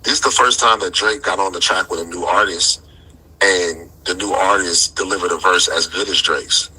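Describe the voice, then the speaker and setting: A man with a rough, husky voice in a casual, slangy, opinionated discussion, repeating phrases for emphasis as he comments. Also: low-pitched, fairly even intonation, normal speed, pushed and bright, poor audio. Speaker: commentator in a debate about rap.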